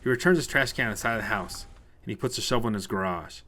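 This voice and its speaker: A man's voice: fairly low pitch, fast, sharp tone. A man reading a scripted short story as narrator, told in the present tense.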